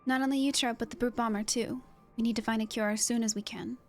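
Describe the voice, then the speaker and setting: A woman voicing a concerned game character, reading grave dialogue in a measured, serious and sympathetic manner. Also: a little high, steady tone, faint music behind, natural voice. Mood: earnest, thoughtful.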